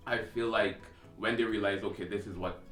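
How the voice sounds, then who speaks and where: Guy from guyana, low voice, easygoing chat, echoey far-off mic. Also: steady tone, fairly quick, a bit bright. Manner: laughs easily, loose conversational. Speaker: fashion designer guest. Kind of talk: casual chat. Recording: roomy, distant mic, faint music.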